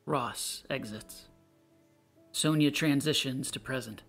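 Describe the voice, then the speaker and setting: A woman reading stage directions as a scripted narrator in a plain, measured way. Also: extremely low pitch, creaky, breathy edge, soft background music, full character voice. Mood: neutral, measured.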